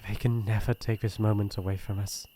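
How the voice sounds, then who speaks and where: A man voicing a shy young prince in love, speaking softly and hesitantly with a British accent in a romantic ASMR roleplay. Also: on the low side, little pitch movement, natural voice. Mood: tender, wistful. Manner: soft, slow, hesitant, intimate.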